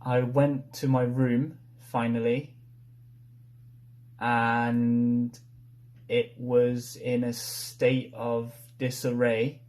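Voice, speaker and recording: A man retelling a story from memory in a halting, unsure manner, piecing sentences together bit by bit. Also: mid-range voice, little pitch movement, darkish tone. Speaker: participant in a story-retelling game.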